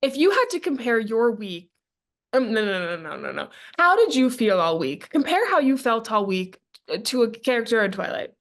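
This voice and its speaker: A woman's voice: on the high side, sing-song, moderate pace. A woman co-hosting a casual comedic book chat, playful and sweary, sounding a little stuffy from a cold. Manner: playful, profane, mock-whiny. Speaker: podcast co-host.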